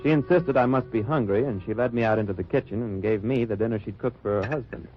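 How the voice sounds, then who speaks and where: A man playing a cool, watchful undercover agent who narrates his wartime mission in measured, wry radio drama style. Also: medium pitch, natural voice. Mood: suspenseful, watchful. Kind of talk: 1940s-50s radio drama acting, first-person narration. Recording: muffled old-time radio recording with music.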